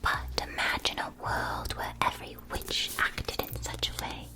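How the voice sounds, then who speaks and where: A gentle witch played by a woman guides a young student through a school shop in an ASMR roleplay. The delivery is a soft whisper at an unhurried pace. The manner is soothing and precise, with a touch of theatrical storytelling. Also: close to an everyday voice, rough recording. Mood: gentle, mysterious.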